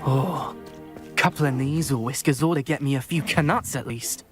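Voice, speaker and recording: A woman voicing a mean, mocking student bully in a game cutscene. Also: moderate pace. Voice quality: low, mocking sneer. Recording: game cutscene with music behind.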